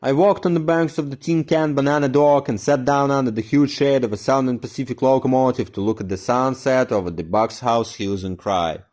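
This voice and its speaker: A man giving a dramatic poetry reading, moving from low, slow brooding passages to higher, louder, fervent ones. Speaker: poetry reader.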